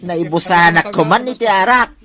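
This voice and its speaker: A man with an Ilocano accent playing a chummy, excitable drinking crony in a radio comedy drama. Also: sits high, moderate pace, crowd noise, natural voice.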